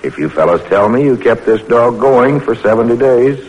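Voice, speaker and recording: A man's voice: mid-range voice, lively intonation, normal speed, a little mellow, lo-fi sound, natural voice. A man playing a dignified, skeptical doctor in an old-time radio drama, formal and emphatic. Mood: skeptical, conceding. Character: skeptical, dignified male autopsy doctor, grudgingly convinced. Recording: noisy old radio recording.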